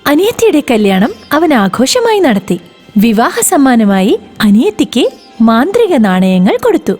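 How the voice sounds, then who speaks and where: Expressive lady reading a kids' cartoon story, swoopy lively pitch, mellow tone. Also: a little slow, soft background music. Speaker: narrator. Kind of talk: storybook narration for children.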